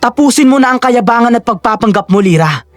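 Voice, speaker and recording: A man's voice: very high voice, expressive, fast talker, soft background music. Man acting a sneering, arrogant villain husband. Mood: sneering anger. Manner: taunting, mocking, theatrical. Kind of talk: Filipino audio drama acting.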